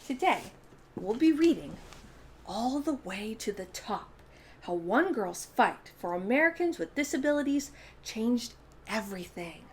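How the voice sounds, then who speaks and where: A woman's voice: on the high side, big pitch swings, a little slow, a little hiss or hum. A woman hosting children's storytime, reading a picture book aloud expressively, with dramatic emphasis on key words.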